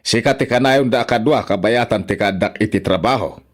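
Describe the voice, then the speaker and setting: A man's voice: fairly low pitch, brisk, natural voice. A man with an Ilocano accent playing a defensive, grumbling father who argues in a radio drama. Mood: defensive blaming. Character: defensive, grumbling father blaming his wife.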